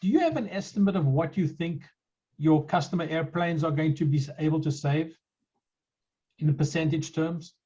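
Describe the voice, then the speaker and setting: A man's voice: fairly high pitch, normal speed, warm tone, slightly rough, lo-fi sound. A middle-aged South African man hosting a conversational interview, telling stories with frequent restarts. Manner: chatty, storytelling, frequent restarts. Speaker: podcast host.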